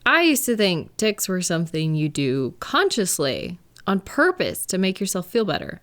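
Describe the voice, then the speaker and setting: A woman playing a caring, reassuring live-in partner in an intimate audio roleplay, speaking gently and conversationally with thoughtful, hesitant pauses. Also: on the low side, huge pitch swings. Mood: thoughtful, gentle. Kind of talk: intimate one-sided comfort roleplay, conversational acting.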